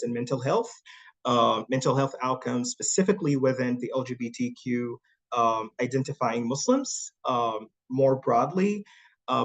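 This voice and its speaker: Thoughtful young man, hesitant speech. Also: medium pitch. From Saudi Arabia. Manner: thoughtful, hesitant with many fillers and repeats. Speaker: guest speaker. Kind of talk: online panel talk.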